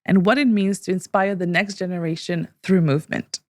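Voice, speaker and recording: A woman's voice: fairly low pitch, fairly even intonation, fairly quick, a little mellow. A woman hosting a podcast interview in a studio, asking questions and giving frequent encouraging affirmations. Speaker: podcast host. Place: studio in Mumbai.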